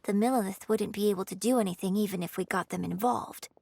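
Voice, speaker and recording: A woman voicing a composed, shrewd and caring young woman in a video game, speaking in a measured, poised way. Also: medium pitch, creaky, mellow, natural voice. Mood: suspicious, calm. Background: music.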